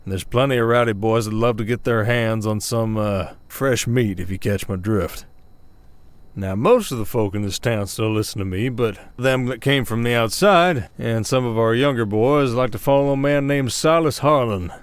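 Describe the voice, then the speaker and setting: A man acts out a weary Old West werewolf sheriff for an ASMR roleplay. He drawls in a folksy Southern American accent, protective and plain-spoken, pausing now and then as he tells things. The sound is close and dry, with an average male pitch. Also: smooth, no room sound, lightly acted. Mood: cautious, wry. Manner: folksy, drawling storyteller with hesitations.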